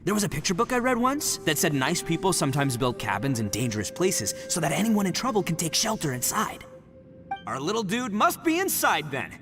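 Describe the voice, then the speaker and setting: Loudmouth cocky oni gang leader, game style, super theatrical, voice jumps around, music behind. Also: male voice, fairly high pitch, big pitch swings, a little fast. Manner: brash, theatrical. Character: boisterous, boastful young male oni gang boss, easily rattled. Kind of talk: video game voice acting.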